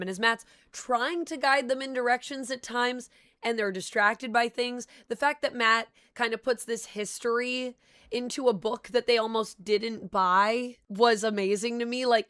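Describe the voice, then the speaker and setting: Brief: young woman host, a touch sick, higher voice, dry close sound, chatty enthusiastic reviewer. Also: a bit bright, smooth, no room sound. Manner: chatty, enthusiastic fan talk. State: sick, voice a little weird. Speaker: channel host reviewing a show. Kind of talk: casual review vlog.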